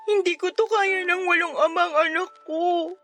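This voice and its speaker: A woman's voice: very high-pitched, steady tone, normal speed, fairly bright tone, faint music behind. A Filipino woman acting as a distressed, insecure twenty-year-old daughter, speaking plaintively in a melodramatic radio drama. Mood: despairing. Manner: plaintive, pleading.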